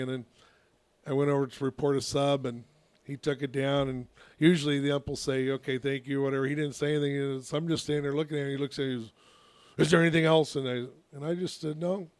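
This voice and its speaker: A man, a youth softball coach, telling a long story on a casual radio talk show, with a naturally loud voice and many restarts. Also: on the high side, no echo.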